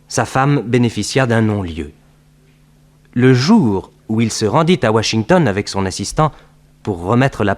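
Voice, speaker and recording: An actor plays a detective's earnest male sidekick narrating a vintage French radio adventure. His mid-range voice tells the story in a measured, scripted and dramatic way. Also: mid-range voice, natural voice.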